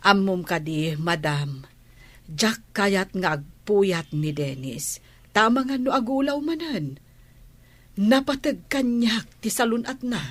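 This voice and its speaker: A woman's voice: mid-range voice, lively intonation, takes it slowly, no echo. A woman playing a loyal maid and nanny in a Filipino radio drama, deferential yet firm and earnest, with an Ilocano accent. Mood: firm, respectful.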